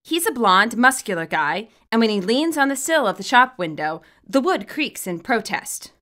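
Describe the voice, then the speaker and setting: A woman reading scripted commentary and story narration, expressive and wry as the author of the tale. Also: on the high side, moderate pace. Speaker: fan fiction author and narrator.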